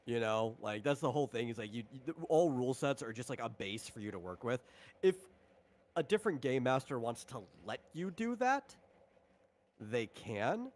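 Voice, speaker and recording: A man's voice: medium pitch, very animated, normal speed. A man talking casually as a game master on a stream, explaining things conversationally with asides.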